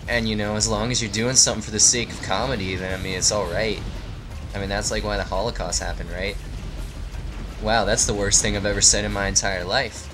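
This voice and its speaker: A man hosting a video game let's play, giving rambling, joking commentary in a deadpan, tongue-in-cheek, meandering way. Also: lowish voice, brisk, over music.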